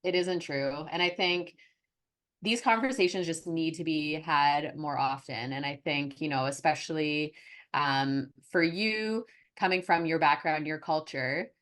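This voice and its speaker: A woman's voice: sits low, unhurried, fairly bright tone. A woman hosting a podcast, asking thoughtful, hesitant questions in a casual interview. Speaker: podcast host.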